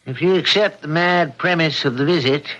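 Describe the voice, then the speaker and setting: An inquisitive man , played by an actor, theorizes in a radio mystery. His voice is on the high side, heard through a dull vintage recording. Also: moderate pace, fairly bright tone, close to an everyday voice. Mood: speculative. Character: speculating, inquisitive man puzzling over a mystery. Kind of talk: radio mystery drama acting.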